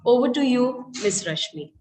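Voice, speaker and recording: A woman's voice: on the high side, animated, normal speed, darkish tone. A woman moderating an online conference. She gives a formal, courteous vote of thanks. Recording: echoey room.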